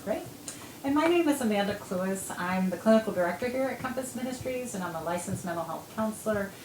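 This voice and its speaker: A woman hosting a panel discussion as clinical director, friendly and affirming. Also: mid-range voice. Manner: friendly, affirming, with many backchannels. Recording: echoey room, faint noise.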